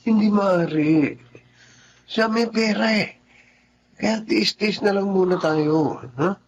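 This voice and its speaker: An actor playing a smooth-talking cheating husband, coaxing and reassuring, in radio drama style. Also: male voice, high-pitched, slow. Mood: uneasy, coaxing. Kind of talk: Filipino radio drama acting. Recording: slightly dull audio, faint background noise.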